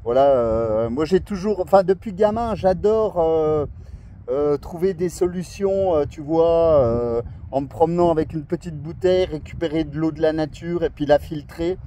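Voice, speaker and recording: Male radio hobbyist, soft mellow tone, enthusiastic casual chat, faint outdoor noise behind. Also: fairly high pitch, moderate pace. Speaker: amateur radio operator filming a video of his radio contact. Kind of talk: casual, chatty radio conversation. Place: outdoors at a scenic coastal spot.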